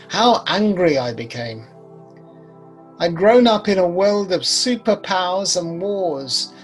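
A British man in his sixties playing a bitter, weary old prophet in a measured, rueful dramatic monologue. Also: a little high, natural voice. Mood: angry, bitter. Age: 60s. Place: home study, video recording. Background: music.

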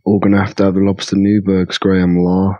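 A man's voice: sits low, monotone, brisk, dark tone, highs cut off, close to an everyday voice. A man playing a brash, cocky, tipsy young lad in an audiobook drama, blunt and sweary. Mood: brash, irritated. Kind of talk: full-cast audiobook acting.

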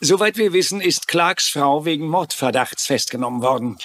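An earnest, worried adviser. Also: male voice, a little high, lively intonation, normal speed, a bit bright, slight background noise. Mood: concerned. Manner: earnest, polite. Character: apologetic, worried male investment adviser. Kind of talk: German-dubbed television crime drama.

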